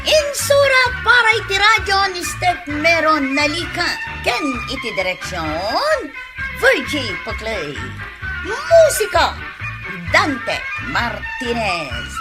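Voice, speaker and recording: A woman announces radio drama credits in a high voice with very lively, swooping intonation. She takes her time, and music plays clearly behind her. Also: slow pace. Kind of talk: scripted credits narration.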